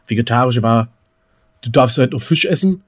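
A man in a casual German podcast chat, talking in an animated, colloquial and teasing way as co-host. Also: medium pitch, normal speed.

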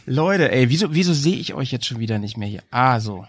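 A man hosting a casual motorbike podcast, joking and lively with his guests over a call. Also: mid-range voice, a little fast, husky, dry recording. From Bremen, Germany. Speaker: podcast host. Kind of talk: casual chat.

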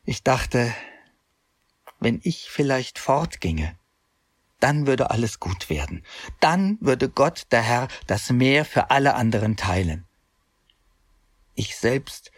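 A grown man looking back on the guilt of his youth, telling it in a measured, pious way. His voice is husky and sits at a middle pitch. It sounds close and dry, with no room around it. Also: no room sound. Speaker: first-person narrator. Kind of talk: scripted radio drama narration.

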